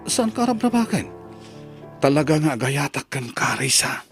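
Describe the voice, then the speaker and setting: A man playing a shy, tender young college student in love, speaking softly and hesitantly in an Ilocano drama. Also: a little high, big pitch swings, moderate pace, over music. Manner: soft, hesitant. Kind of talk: Ilocano radio-style drama acting.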